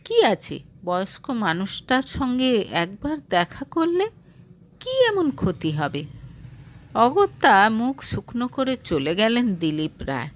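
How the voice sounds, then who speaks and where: Slow, animated woman storyteller. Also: on the high side. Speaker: audio story narrator. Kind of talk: dramatized romantic story reading.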